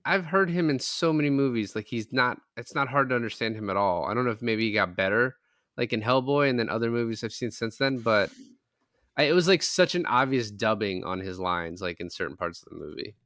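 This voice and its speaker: A man's voice: medium pitch, brisk, fairly bright tone, dry recording, clean studio sound. A man in a casual film discussion, retelling things in a rambling way with some swearing.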